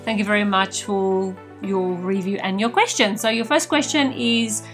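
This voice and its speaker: A woman's voice: medium pitch, normal speed, faint music behind. A woman podcast host whose first language is Afrikaans, talking earnestly and personally to her listeners in a casual monologue.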